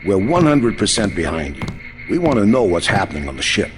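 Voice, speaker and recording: Animated low-voiced male ally, reverberant. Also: brisk. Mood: eager, confiding. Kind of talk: video game voice acting. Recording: long reverb, music behind.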